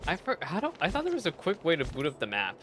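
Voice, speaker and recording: A man's voice: a little high, huge pitch swings, fast talker, fairly bright tone, a little hiss or hum. A man doing casual live gameplay commentary as a streamer, muttering offhand asides. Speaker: game streamer. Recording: game music behind.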